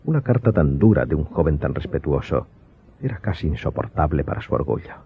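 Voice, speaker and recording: A man's voice: rumbling low, lively intonation, fairly quick, slightly rough. A man delivering scripted narration for a radio drama audiobook, as the storyteller. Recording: muffled, narrow-band audio with faint music.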